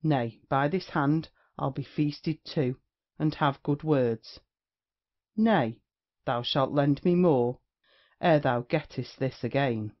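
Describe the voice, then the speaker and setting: A man's voice: mid-range voice, slow, natural voice. Brazen mocking rake played by a man, muffled recording. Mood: insolent. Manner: declamatory, mocking. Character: brazen debt-dodging Restoration rake, witty and insolent. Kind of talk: theatrical period comedy acting.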